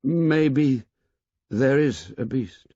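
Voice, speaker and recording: Man putting on a shy boy voice, higher, halting, slightly husky, dull lo-fi audio. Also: mellow, no room sound. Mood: hesitant. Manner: hesitant, halting, searching for words. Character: shy thoughtful schoolboy, Simon.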